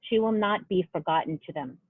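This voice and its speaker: A woman's voice: on the low side, lively intonation, brisk, husky, dry recording. A woman actor reading a written memorial tribute in a solemn style, heard through a tinny, band-limited connection.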